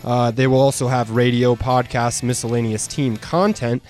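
A male radio co-host reads short news items and then explains the details calmly and in a measured way. His voice sits at a mid pitch, with soft music faintly behind him. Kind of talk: reading news items, then casual banter. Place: radio studio.

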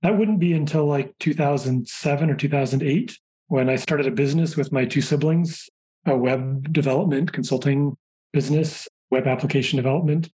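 A man in his forties, a software engineer guest on a podcast, telling chatty anecdotes in a relaxed way. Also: on the high side, normal speed, low-quality recording. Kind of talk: casual conversational interview.

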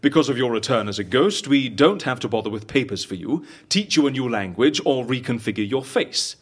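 A suave fixer in an audio drama, all business and wry theatricality. His voice is husky and swoops widely in pitch. He speaks articulately and persuasively, like someone selling a scheme. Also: expressive, fairly quick. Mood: businesslike. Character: suave, businesslike agency fixer who stages fake deaths, wry and theatrical.

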